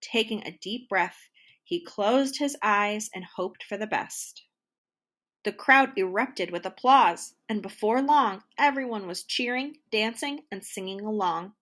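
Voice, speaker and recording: A woman storyteller reading a children's storybook aloud in an expressive, deliberate way. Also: mid-range voice. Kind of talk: scripted children's storybook narration.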